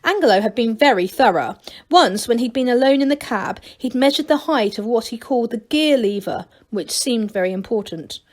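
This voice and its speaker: A woman reading a story aloud in an expressive, deliberate narrating manner. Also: a little high. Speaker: school staff reader.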